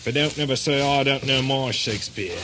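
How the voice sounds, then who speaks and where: A man's voice: medium pitch, fairly quick, close to an everyday voice. A man playing a matey, mercenary assassin in a comic radio drama, with colloquial wheedling patter. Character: matey, mercenary hired assassin and squire's sidekick. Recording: noisy old radio drama recording.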